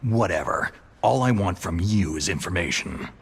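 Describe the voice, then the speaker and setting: Gruff terse soldier from a game cutscene, really low gravelly voice, cynical, noisy background. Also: male voice, expressive, normal speed. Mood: cynical, dismissive. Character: gruff, cold, battle-hardened male soldier on a mission. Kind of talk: video game cutscene acting.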